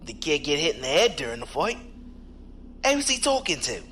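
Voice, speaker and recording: A man's voice: a little high, very animated, moderate pace, bright, forceful tone, slight background noise. A man playing a wry, casual starship crewman in a sci-fi audio drama.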